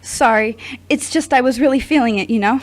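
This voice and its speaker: Woman playing a shy smitten girl, fairly high voice, hesitant, apologetic, audio drama. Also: brisk, lightly acted. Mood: flustered, apologetic. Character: Brittany, timid, apologetic, smitten young woman.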